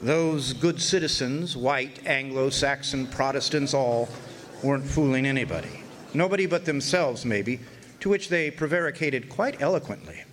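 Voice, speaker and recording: A man's voice: mid-range voice, fairly bright tone, a little hiss or hum, close to an everyday voice. An actor playing a wry, folksy older man who narrates his Arizona orphan boyhood in a radio drama. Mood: wry, reflective. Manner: folksy, wry, drawn-out storytelling. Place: live stage performance in a hotel.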